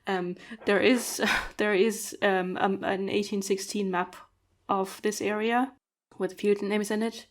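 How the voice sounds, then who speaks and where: A woman's voice: mid-range voice, steady tone, slow, few pauses. Hesitant woman, non-native English speaker. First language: not English. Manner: thoughtful, hesitant with many fillers and laughs. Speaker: podcast host. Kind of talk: casual conversation.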